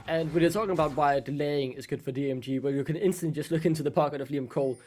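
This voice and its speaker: A man's voice: a little high, rapid-fire, darkish tone, soft background music. A man whose first language is Danish, casting a live esports match in chatty commentary and quizzing his co-caster.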